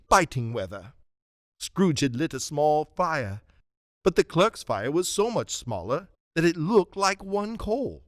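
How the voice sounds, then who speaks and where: A man narrating a radio drama as a storyteller, with dramatic, deliberate phrasing. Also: on the high side, dry recording, studio quality.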